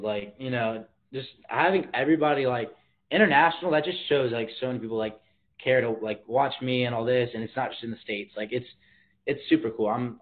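A teenage boy hosting a casual livestream from his bedroom, talking in a slangy, laid-back way and reading viewer comments aloud, filmed on a phone at arm's length. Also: medium pitch. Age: teens. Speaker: livestream host. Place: bedroom, indoors. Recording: tinny phone-like audio.

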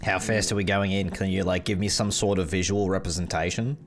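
A man's voice: sits low, fairly even intonation, a little fast. A man chatting casually while gaming, teasing his teammates in a mock-earnest way. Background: music, game gunfire.

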